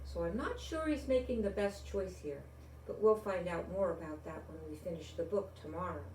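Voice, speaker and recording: An older woman reads a children's story and talks to young viewers in a deliberate, expressive way. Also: lowish voice, a little hiss or hum. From Rhode Island, United States. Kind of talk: children's storybook read-aloud and friendly address to young viewers. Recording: echoey room, distant microphone.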